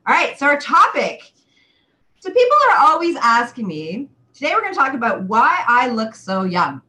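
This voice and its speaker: A woman in her forties hosting a chatty live webcast, talking to viewers in an exuberant, theatrical way with drawn-out emphatic words. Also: a little high, very animated, bright, forceful tone, rough recording. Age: 40s. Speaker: livestream show host and sexual wellness coach.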